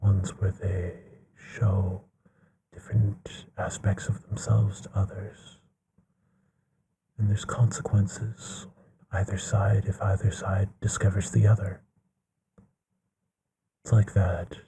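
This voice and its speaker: A man playing a courtly, bookish, gently affectionate vampire in an intimate ASMR bedtime roleplay, musing in a breathy hush that often slips into a whisper. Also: sits low, flat delivery, heavily acted. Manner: musing, genteel, unhurried with pauses.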